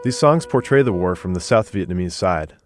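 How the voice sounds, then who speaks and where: A man narrating a radio feature in a measured, descriptive way. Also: deep voice, animated, over music. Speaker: reporter narrating.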